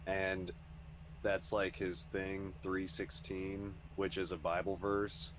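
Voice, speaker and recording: A man in his early thirties with a deep, low voice chats casually among gamers. He explains things haltingly, and a clear humming noise runs under the recording. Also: monotone. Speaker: racer in a community race. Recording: noisy voice chat with hum.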